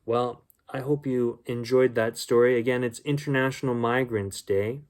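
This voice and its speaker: A man with a soft-edged, mellow tone reads a bedtime story for children. His delivery is gentle and unpolished, moving between storybook reading and informal talk to his young listeners. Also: medium pitch, fairly even intonation, moderate pace. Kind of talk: storybook read-aloud with casual asides.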